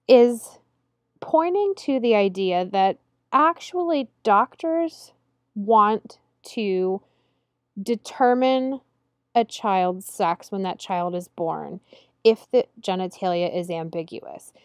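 Woman lecturer, measured explanatory delivery, mid-pitched voice, dry close sound. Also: no echo. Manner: measured and explanatory, slowing to stress key terms. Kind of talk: recorded slide lecture. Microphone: close voice-over mic over slides.

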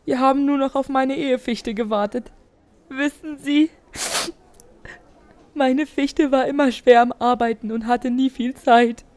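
A woman's voice: high voice, steady tone, unhurried, darkish tone, dry recording. A woman voicing a grieving, indignant widowed spruce tree testifying in a comedy courtroom audio play. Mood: wistful.

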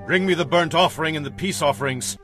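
A man's voice: on the high side, fast, a bit bright, faint music behind, natural voice. An actor playing a defensive, anxious ancient warrior king in an audio Bible drama. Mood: commanding.

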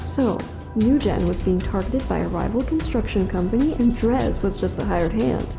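A woman's voice: mid-range voice, normal speed, creaky, music in the background, natural voice. A woman playing a level-headed police detective in an audio drama, terse, dry and matter-of-fact. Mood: hushed, businesslike.

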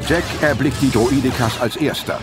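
A man's voice: medium pitch, normal speed. A man narrating an audio drama in a dramatic, scripted style. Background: music.

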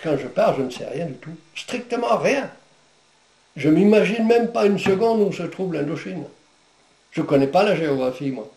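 Older Breton-native man, gravelly voice. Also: fairly high pitch, a little mellow, echoey room, rough recording. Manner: halting, many pauses and fillers. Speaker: veteran interviewee. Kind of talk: recounting memories in an interview. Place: living room indoors.

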